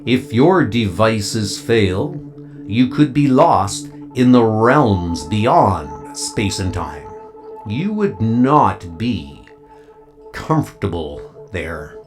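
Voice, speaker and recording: Ancient giant guardian for comic-book audio drama, male actor: solemn, archaic, deep and unhurried, over prominent music. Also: sing-song, reverberant, exaggerated voice. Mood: solemn, measured. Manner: slow, grave, archaic pronouncements. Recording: music behind the voice. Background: loud dramatic music.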